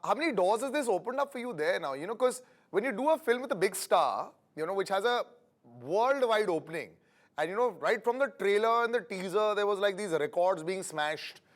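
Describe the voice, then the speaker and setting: A middle-aged male host interviews a film guest in a relaxed, chatty way. His voice sits high for a man, and his questions wander on with fillers before landing. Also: a little fast. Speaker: entertainment interviewer.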